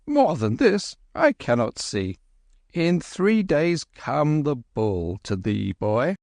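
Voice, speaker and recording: Solemn village priest read by a male narrator, high and grave, oracular, storybook reading. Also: big pitch swings, takes it slowly, gravelly, darkish tone, dry recording, clean studio sound, exaggerated voice.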